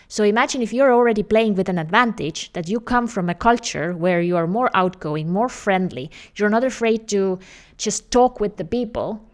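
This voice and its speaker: A woman with an Estonian accent coaches job seekers in a steady mid-pitched voice. She talks in an earnest, explanatory way, and her English phrasing is slightly non-native. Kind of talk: direct-to-camera advice video.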